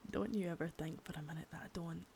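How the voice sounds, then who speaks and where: A woman voicing a sadistic, menacing female boss in an ASMR roleplay, whispering softly with spoken threats between. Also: fairly low pitch, gravelly, slight background noise. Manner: taunting and threatening.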